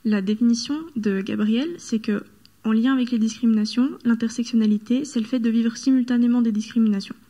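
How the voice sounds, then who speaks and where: A woman's voice: on the high side, fairly even intonation, fairly quick, mellow. A young woman presenting a youth radio show, reading from a script. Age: young adult. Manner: reads from a script.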